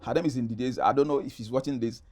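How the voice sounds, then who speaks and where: A man's voice: medium pitch, fast talker, darkish tone. Middle aged nigerian guy in an interview, emphatic storyteller, repeats himself a bit. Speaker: interview guest, actor. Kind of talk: animated personal storytelling in conversation.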